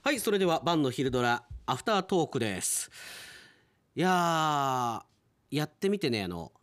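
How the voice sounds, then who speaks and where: Lively, chatty male radio host. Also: a little high, a little slow, dry recording, studio quality. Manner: chatty, addresses listeners directly. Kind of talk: casual hosting talk to listeners.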